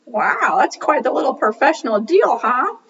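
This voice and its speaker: A woman voicing a curious, excitable puppet who asks questions in an exclamatory way during a puppet show dialogue. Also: high voice, moderate pace, gravelly, husky, far from the mic, highs cut off, heavily acted. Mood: inquisitive.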